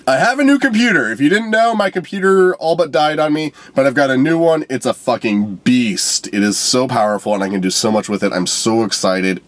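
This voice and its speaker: Young male vlogger, congested, mid-pitch, fed up, profane, up and down in loudness. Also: no room sound. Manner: exasperated, profane, repeats himself, swings from loud outbursts to low mutters. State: stuffed-up nose. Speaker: movie review vlogger. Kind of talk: unscripted ranting movie review.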